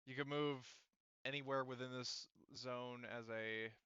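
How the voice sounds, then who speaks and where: A man's voice: mid-range voice, steady tone, measured pace, sharp tone, smooth, dry recording, highs cut off. A man casually refereeing a tabletop roleplaying game as game master, with informal, sweary talk.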